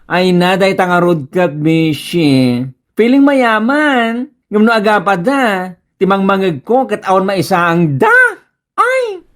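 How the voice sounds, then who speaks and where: A woman's voice: medium pitch, lively intonation, takes it slowly. A Filipino woman with an Ilocano accent playing a nagging, exasperated village neighbor in a comedy radio drama. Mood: scolding. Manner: scolding, exclaiming.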